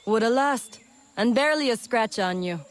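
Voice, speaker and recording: An actress playing a proud, sharp-tongued woman smuggler of the swamp in a video game, sassy and blunt. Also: fairly high pitch, animated, normal speed, slight background noise, lightly acted. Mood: wry.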